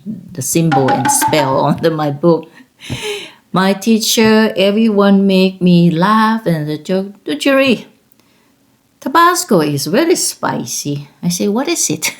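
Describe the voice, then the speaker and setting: Thai accent lady in her 50s, low voice, slow rambly storytelling, soft music behind. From Thailand. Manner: rambling, laughs while telling stories. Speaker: vlogger. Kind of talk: casual personal storytelling.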